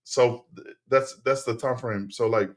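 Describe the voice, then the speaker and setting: A middle-aged man whose first tongue is Tongan speaks at an ordinary mid pitch. He talks like a relaxed podcast guest who circles back and restarts sentences. The tone stays easy and conversational. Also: measured pace. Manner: relaxed, rambling, many fillers and restarts. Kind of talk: casual interview storytelling.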